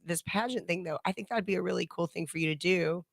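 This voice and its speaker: A woman hosting a relaxed radio chat. Her voice sits slightly low, and she talks loosely and freely, often doubling back on her words and breaking into laughter. The sound is close and dry, with no room around it. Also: quick pace, gravelly, dry recording. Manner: chatty, laughs often, many restarts. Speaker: radio show host. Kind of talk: casual chat.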